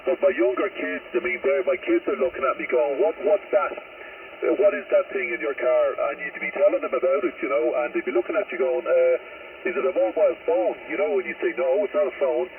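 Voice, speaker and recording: A man's voice: on the high side, fairly quick, creaky, recorded from a distance. A middle-aged man with an Irish accent chatting casually over the radio in a conversational way full of little asides. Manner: chatty, frequent "you know" asides. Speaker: amateur radio operator. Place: out on a high hill spot. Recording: crackly narrow-band radio link, faint noise.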